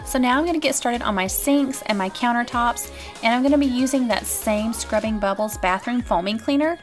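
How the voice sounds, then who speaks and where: A woman's voice: fairly high pitch, fairly quick, faint music behind. A woman in her thirties giving a cheerful, chatty voice-over for her cleaning vlog and talking straight to her viewers. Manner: upbeat and chatty, addressing viewers directly. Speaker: cleaning vlogger. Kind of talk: casual voice-over narration.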